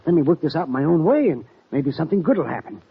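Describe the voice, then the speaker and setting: Gravelly, conflicted old-West father. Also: on the high side, big pitch swings, fast, heavily acted. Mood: uneasy, tender. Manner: folksy cowboy talk, pleading. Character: weary, conflicted Western father, reluctant gang member. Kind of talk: old-time Western radio drama acting. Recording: muffled, noisy old radio recording.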